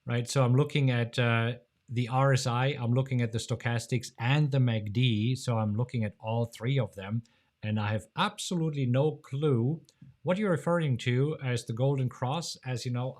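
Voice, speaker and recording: Chatty German man hosting a live Q&A. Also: darkish tone. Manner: chatty and jokey, laughs often, swings sharply up in pitch. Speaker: host of a live trading show. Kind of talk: casual live-stream Q&A.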